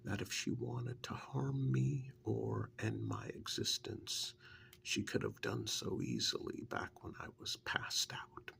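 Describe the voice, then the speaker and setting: A man playing a witch's assistant in an ASMR role-play, softly telling his story in an earnest, wary, hesitant way with a breathy, gravelly voice. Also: mid-range voice, huge pitch swings, full character voice. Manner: hesitant, unhurried first-person storytelling.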